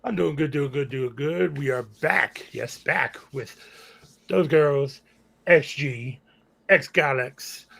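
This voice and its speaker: A man's voice: on the high side, takes it slowly, vocal fry, a bit bright. A man co-hosting a reaction show, chatting casually. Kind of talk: casual chat.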